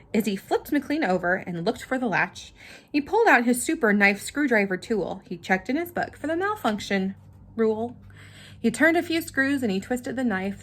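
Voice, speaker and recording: Woman, high voice, very lively intonation, children's storybook read-aloud, dramatic delivery. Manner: animated, dramatic, simple explanations for young children. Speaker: storybook reader. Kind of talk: expressive children's read-aloud with short explanatory asides.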